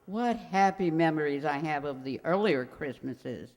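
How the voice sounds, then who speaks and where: Woman playing a scatterbrained old landlady, lively, echoing. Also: low voice, measured pace. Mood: fondly reminiscing. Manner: dithering, rambling, earnest. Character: sweet, scatterbrained elderly landlady, kindly and forgetful. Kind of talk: radio comedy acting, old-time sitcom revival. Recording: very reverberant hall sound.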